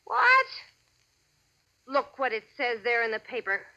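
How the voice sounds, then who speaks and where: A woman's voice: fairly high pitch, very animated, a little slow, sharp tone, full character voice. An actress playing a suspicious, blunt gangster's girlfriend with a slangy American delivery, in 1940s radio style. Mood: shocked, demanding. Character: suspicious, hard-edged female gangster's girlfriend. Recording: muffled old radio recording.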